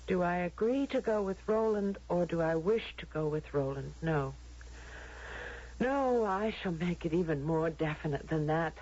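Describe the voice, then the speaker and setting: An actress playing an earnest, frightened, stubbornly honest young woman in a radio drama, with halting delivery. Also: on the low side, lively intonation, darkish tone. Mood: defiant. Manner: halting, earnest, repeating words. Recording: muffled old radio broadcast recording.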